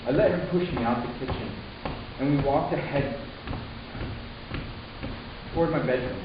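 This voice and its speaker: A man playing an anguished, defiant young human narrator in a halting, intense dramatic story reading, recorded far off in an echoey room. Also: mid-range voice, highs cut off, background noise, natural voice. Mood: tense.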